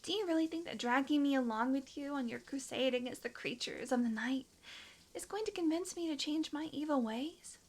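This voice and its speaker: A woman's voice: sits high, fairly quick. A woman acting a sly, sarcastic, flirtatious female vampire in an intimate audio roleplay, teasing and sometimes dropping into a murmur. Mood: sardonic, teasing.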